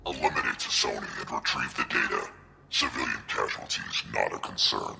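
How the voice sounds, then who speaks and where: Monstrously deep, gravelly male overlord. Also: animated, normal speed, bright, forceful tone, in a large hall, exaggerated voice. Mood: cold command. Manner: cold, terse, commanding. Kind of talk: dramatic video game acting.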